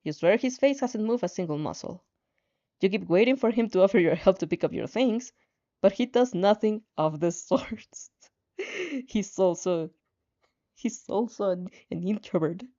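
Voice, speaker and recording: A woman reading fan fiction aloud in an animated way, now and then stumbling and rereading a phrase, on a slightly dull recording. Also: mid-range voice, normal speed. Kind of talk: animated story reading with asides.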